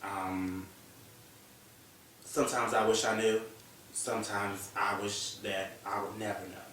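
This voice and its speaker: A man's voice: on the low side, level tone, slow, a bit bright, a little hiss or hum. A young man in his early twenties speaking candidly and emotionally on a panel chat show, now and then swearing. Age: young adult. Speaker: guest. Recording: echoey room, distant mic.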